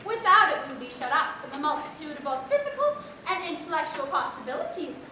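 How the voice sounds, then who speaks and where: A teenage girl plays a sunny, grandiloquent period lady. She declaims floridly with lively swoops and a bright edge. It is heard from far off in a theater. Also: high voice, fast talker, slight background noise, heavily acted. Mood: rapturous. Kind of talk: mannered period stage acting. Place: large theater stage, indoors. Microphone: far camera. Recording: echoey auditorium from far off.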